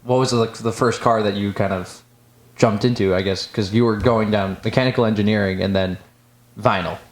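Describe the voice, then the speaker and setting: A man's voice: on the low side, steady tone, a little fast. A man hosting a podcast interview, asking long, rambling questions that stop and restart. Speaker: podcast host. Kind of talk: casual interview chat.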